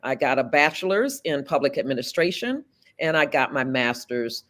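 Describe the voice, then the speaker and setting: A woman in her fifties from South Florida, running for office and answering an interviewer. She speaks earnestly and deliberately, with measured pauses between her thoughts. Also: very deep voice, expressive, a bit bright. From South Florida, USA. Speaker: political candidate.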